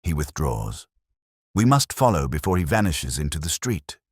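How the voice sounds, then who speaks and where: A man voicing a precise, cool, watchful male detective in an audiobook story, speaking in a measured, analytical way. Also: a bass voice, sing-song, husky, no echo, studio quality, full character voice. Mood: analytical, deliberate.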